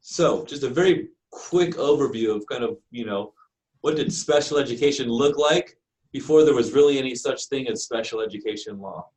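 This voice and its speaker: An American man lecturing to a class as a university instructor, in a halting way padded with frequent filler phrases. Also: mid-range voice, a little mellow, poor audio. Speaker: university lecturer in special education.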